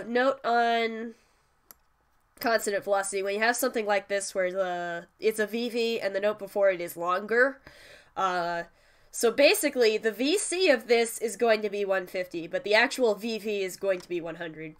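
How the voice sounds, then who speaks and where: Woman, congested with a cold, casual tutorial host, chatty with swearing asides, mid pitch. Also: normal speed. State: stuffy from a cold. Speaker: music producer teaching vocal synth tuning. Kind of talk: casual screen-share tutorial.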